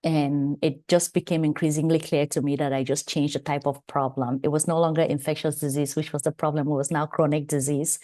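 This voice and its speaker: A woman with a Cameroonian accent speaks in a low, dark, mellow voice. She gives a webinar talk over a video call, chatty and personal, with asides to her listeners. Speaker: guest presenter, pharmacist. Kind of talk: webinar presentation.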